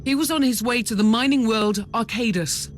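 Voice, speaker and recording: A woman's voice: a little high, natural voice. Composed, wry female aide voiced by a woman. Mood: composed, informative. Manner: measured, formal, dryly wry. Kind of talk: video game cutscene dialogue. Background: game music.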